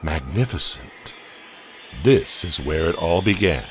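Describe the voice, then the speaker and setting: A man narrating a horror audio comic in a slow, deliberate, dramatic way. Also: deep voice, lively intonation, slight background noise.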